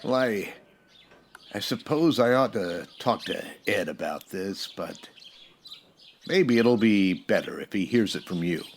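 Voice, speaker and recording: An actor playing a weary, decent Old West marshal with a plainspoken, hesitant way in a radio western parody. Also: male voice, fairly low pitch, expressive, a little slow, a little hiss or hum. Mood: awkward, reluctant.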